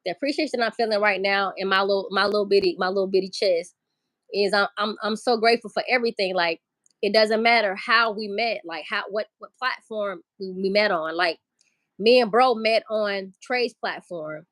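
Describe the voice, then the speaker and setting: A woman's voice: medium pitch, moderate pace, fairly bright tone, no echo. A woman hosting a casual livestream, talking loosely, swearing and greeting viewers in the chat. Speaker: livestream host.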